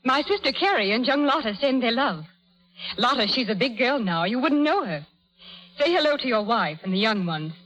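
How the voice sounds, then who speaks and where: Woman voicing warm-hearted old lumberjack's letter, broken English, halting, high pitch, old radio drama. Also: animated, soft background music, poor audio. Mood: kindly. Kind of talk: 1930s radio drama acting.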